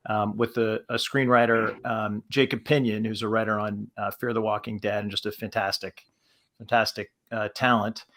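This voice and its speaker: A man speaking informally as a panelist, halting and repeating words as he explains. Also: mid-range voice, a bit bright. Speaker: panelist, producer.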